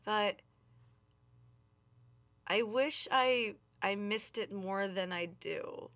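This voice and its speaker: A woman's voice: medium pitch, extremely slow, a bit bright. A woman co-hosting a podcast in casual chat, easygoing, laughing often and tossing in short agreeing replies. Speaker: podcast co-host.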